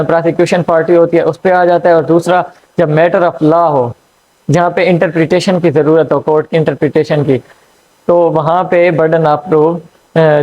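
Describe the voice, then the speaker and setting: A man giving a law lecture, explaining slowly with frequent fillers and checks. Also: a little high, a little mellow. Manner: hesitant, frequent fillers and checks "theek hai". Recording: dull lo-fi recording.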